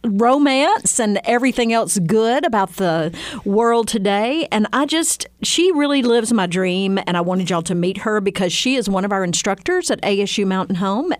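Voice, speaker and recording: A woman's voice: medium pitch, no echo. A woman from Mississippi, hosting an interview show and gushing over her guest. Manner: gushing, effusive, excitable. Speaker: host. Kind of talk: casual interview chat.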